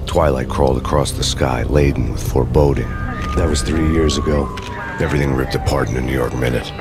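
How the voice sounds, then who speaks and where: A man's voice: a bass voice, fairly even intonation, a little fast, loud music behind the voice. A man playing a world-weary, cynical undercover cop, narrating a noir game in a brooding, sardonic way.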